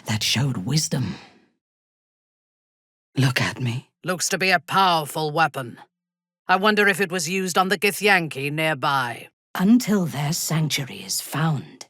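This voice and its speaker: A woman playing a haughty, menacing dark elf warrior commander in a video game, with a husky voice and a cold, imperious, deliberate delivery. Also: sits low, no echo, clean studio sound, full character voice. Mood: stern, then cool appraisal. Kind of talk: dramatic video game voice acting.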